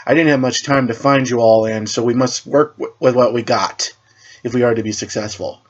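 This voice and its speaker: A man voicing an assured, cryptic leader of a superhero team, speaking in a measured, formal way. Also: medium pitch, steady tone, dull audio, close to an everyday voice.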